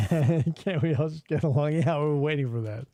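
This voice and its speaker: A man's voice: a little high, moderate pace, over loud music. A man co-hosting a podcast, riffing casually on old commercials with crude jokes and mock voices. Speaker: podcast co-host.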